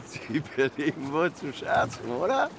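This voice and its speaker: A man's voice: fairly high pitch, very animated, measured pace. An actor playing a cautious, deferential male harbour boat pilot in a German audio drama, plain-spoken in manner. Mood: incredulous. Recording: faint noise, watery harbour ambience. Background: water and harbour ambience.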